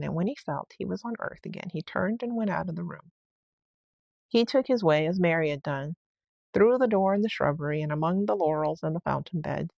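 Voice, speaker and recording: Low, creaky-voiced woman reading a bedtime story. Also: no room sound. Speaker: story reader.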